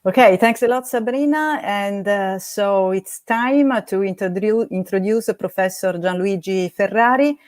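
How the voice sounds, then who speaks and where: A woman hosting an online event, talking haltingly with many fillers. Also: medium pitch, darkish tone, no echo, studio quality. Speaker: event host. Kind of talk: hesitant opening remarks.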